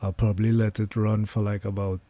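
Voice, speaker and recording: A man with a hoarse voice giving casual live commentary on his currency trades, thinking aloud with many fillers. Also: on the low side, little pitch movement, a little fast, mellow. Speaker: trading livestreamer.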